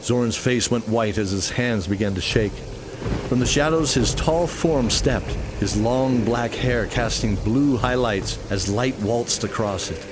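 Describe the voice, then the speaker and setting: A man's voice: medium pitch, unhurried, noisy background. A man narrating a scripted fantasy radio play in an earnest, dramatic storytelling style.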